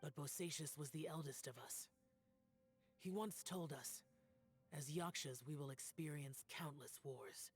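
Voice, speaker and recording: A man's voice: a little high, measured pace, slightly rough. A man voicing a solemn, aloof young immortal demon-slayer in a fantasy game, speaking in a grave, guarded, restrained way. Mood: somber, brooding. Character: solemn, aloof young male immortal demon-slaying warrior burdened by karma. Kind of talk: scripted fantasy game acting. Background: background music.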